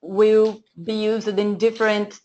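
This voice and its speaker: A woman's voice: mid-range voice, level tone, slow pace, a little mellow, dull audio. A woman with a Portuguese accent giving a lesson over shared slides, speaking hesitantly with many fillers and restarts. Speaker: data analytics trainer. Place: screen-share presentation, voice off camera.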